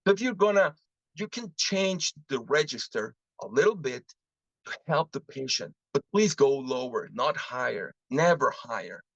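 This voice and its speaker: A man from Mexico, presenting a live webinar on interpreting and asking the audience lively rhetorical questions. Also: medium pitch, normal speed, vocal fry, no echo. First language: Spanish. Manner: animated teaching, frequent rhetorical questions, switches into Spanish examples. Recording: muffled lo-fi dry webinar audio.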